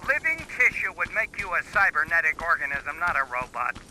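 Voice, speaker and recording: An actor playing a paranoid, fussy doctor in a video game, pedantic, suspicious and theatrical in manner. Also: male voice, on the high side, sing-song, brisk, a crowd in the background, rough recording. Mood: mocking, pedantic. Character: paranoid, fussy, testy male doctor in hiding.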